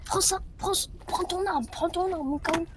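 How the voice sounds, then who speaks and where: A woman's voice: very high-pitched, moderate pace, background noise. A woman with a French accent playing a cautious young male survivor who scolds his companions urgently.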